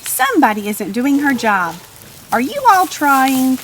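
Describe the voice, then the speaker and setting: A woman's voice: high-pitched, very animated, a little slow, a bit bright, slight background noise, full character voice. A woman voicing an enthusiastic, bossy storybook farm woman who speaks in an emphatic, exclaiming way. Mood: demanding. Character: eager, bossy, enthusiastic woman hen farmer.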